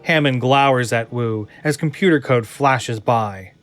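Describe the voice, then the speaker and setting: Slow, dramatic male narrator over music. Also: mid-range voice, a bit bright. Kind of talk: dramatic scripted screenplay narration. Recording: music behind the voice. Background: prominent music.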